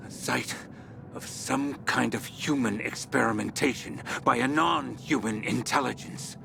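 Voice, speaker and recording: An actor playing an obsessed researcher sliding into messianic madness in a video game audio log, speaking haltingly and broodingly, at times breaking into whispers or cries. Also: male voice, medium pitch, gravelly, noisy background. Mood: grim, certain. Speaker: expedition leader recording audio logs. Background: background music.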